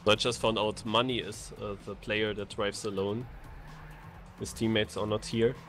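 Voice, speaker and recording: A man's voice: lowish voice, normal speed, faint music behind. A man co-casting a racing game tournament, chiming in with short reactions and laid-back explanations. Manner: short interjections and relaxed explanations. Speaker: co-caster. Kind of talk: live racing game commentary.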